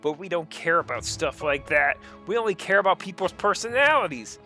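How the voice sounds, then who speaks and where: Man putting on a high, gushing teenage goth girl. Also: animated, brisk, pushed and bright, full character voice. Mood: gossipy, indignant. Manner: gushing, girlish. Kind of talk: comic dramatic reading of fan fiction. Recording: music behind the voice.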